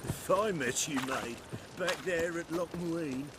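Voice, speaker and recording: A man's voice: fairly high pitch, unhurried, background noise, close to an everyday voice. An actor playing a world-weary drunken townsman in a fantasy video game, rambling and slurring. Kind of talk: video game dialogue acting.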